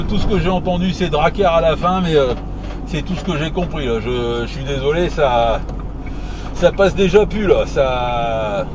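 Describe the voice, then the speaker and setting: A man's voice: fairly high pitch, noisy background. A man chatting casually as a CB radio operator, rambling and repeating his phrases in colloquial language.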